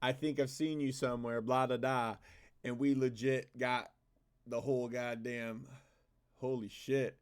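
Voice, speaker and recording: Sarcastic, exasperated reaction host. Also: male voice, mid-range voice, little pitch movement, unhurried, smooth. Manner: sarcastic, exasperated, swears a lot. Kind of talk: casual reaction commentary.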